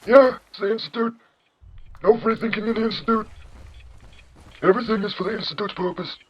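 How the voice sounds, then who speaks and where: A man's voice: sits high, steady tone, a little fast, highs cut off, slight background noise, pitched above the performer's own voice, close to an everyday voice. A man voicing a cranky, indignant old synth with a blustering, exaggerated generic old man voice in a comedy skit. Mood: rigid, obedient. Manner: blustering, repetitive, scolding.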